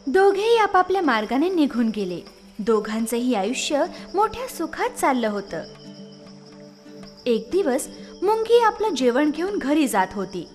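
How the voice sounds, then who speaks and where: Woman storyteller, high voice, animated intonation, children's story narration, reverberant, music behind. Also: measured pace. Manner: expressive storytelling.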